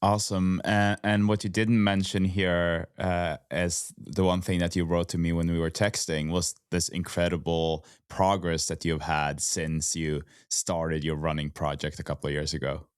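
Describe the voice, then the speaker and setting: A man's voice: low voice, level tone, normal speed, few pauses, clean tone, dry recording, clean studio sound. A man with a Norwegian accent, hosting a podcast in a casual, conversational way. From Norway. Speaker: podcast host.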